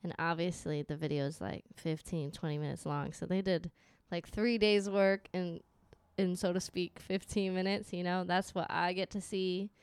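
A woman talking casually as a podcast co-host, chatty and quick with relatable asides. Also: lowish voice, level tone, darkish tone, clear, resonant tone, no room sound. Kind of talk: casual conversation.

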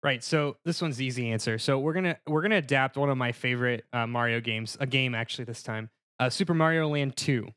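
A man's voice: mid-range voice, quick pace, few pauses, fairly bright tone, clear, resonant tone, dry recording, studio quality. A man chatting casually and comedically as a panelist on a podcast.

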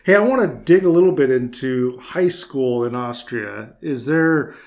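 A man's voice: medium pitch, animated, a little slow. Casual man hosting a podcast. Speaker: podcast host, interviewer. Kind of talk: casual interview chat.

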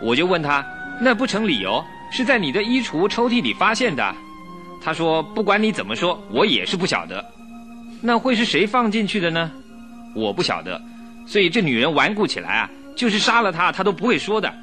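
A man's voice: high voice, sing-song, fairly quick, sharp tone, soft background music, exaggerated voice. An actor playing a cocky, insistent police inspector in a radio drama, emphatic and pressing as he questions. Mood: exasperated, insistent. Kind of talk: Chinese radio drama acting.

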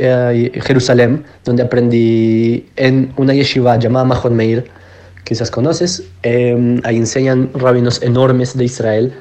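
A young man introduces himself. His voice is dark and mellow, sitting at an ordinary pitch, and he runs through his life story step by step in a plain, matter-of-fact way. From Colombia, Bogotá. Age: 20s. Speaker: podcast guest. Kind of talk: spoken self-introduction.

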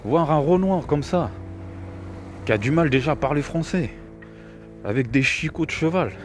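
A man's voice: fairly high pitch, expressive, moderate pace, soft background music. Rambling middle-aged man with a cold. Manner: rambling, repeats words, scornful. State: has a cold. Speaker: video commentator. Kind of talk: opinionated reaction commentary.

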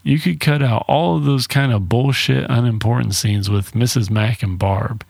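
A man's voice: medium pitch, normal speed, creaky, darkish tone, no room sound, clean studio sound. A man hosting a solo podcast, giving a casual movie review in a blunt, informal way with some swearing. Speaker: podcast host.

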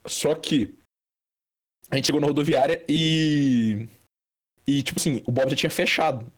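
A man's voice: a little high, steady tone, a little slow. A young man from Brazil telling a long, rambling anecdote in casual chat, full of fillers and restarts. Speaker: storyteller guest.

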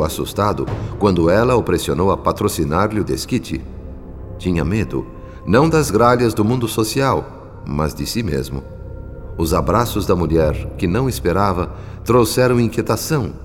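An actor with a Brazilian accent playing a solemn spirit guardian who narrates a radio drama in formal, measured, literary diction. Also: male voice, low voice. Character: solemn male spirit guardian recounting a family's troubles. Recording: long reverb, music bed behind. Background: music.

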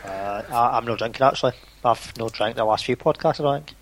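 A Scottish man with a middle-pitched voice chats on a podcast. He throws in short, teasing comments. A faint noise sits under his audio. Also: brisk. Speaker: podcast co-host. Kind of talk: casual chat.

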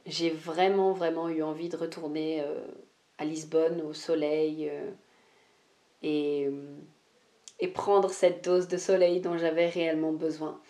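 A young woman hosting a solo podcast, talking without notes and often pausing with fillers and restarts. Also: sits low, flat delivery, warm tone. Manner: hesitant, many "euh" fillers and restarts. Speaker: podcast host, life mentor. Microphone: podcast microphone.

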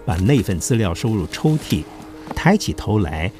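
A man's voice: fairly low pitch, sing-song, moderate pace, darkish tone. A man narrating a scripted historical story for an audio drama. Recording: music behind the voice. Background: clear background music.

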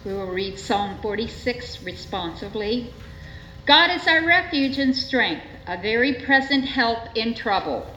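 A woman gives a formal scripture reading in a measured, solemn manner at a church service. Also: on the high side, expressive, pushed and bright. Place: indoors in a church. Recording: echoey church, dull sound, faint noise.

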